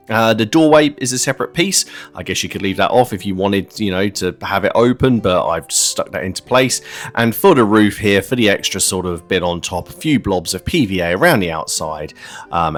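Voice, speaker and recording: A man giving a casual, chatty narrated walkthrough as a hobby video host, explaining things informally. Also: lowish voice. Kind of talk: casual narrated kit-building review. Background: music.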